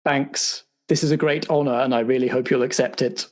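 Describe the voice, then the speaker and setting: A man's voice: medium pitch, steady tone, a little fast, natural voice. A man playing an awkward, apologetic intelligence agency official who stammers officiously through a debrief in an improvised roleplay show. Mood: coaxing. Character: awkward, apologetic male spy agency bureaucrat giving a debrief.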